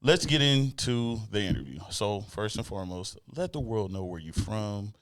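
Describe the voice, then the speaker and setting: A man with a fairly low voice hosts a casual interview. He talks in a laid-back, slangy way and swears casually as he chats. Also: animated, moderate pace, no echo, clean studio sound. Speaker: interview show host.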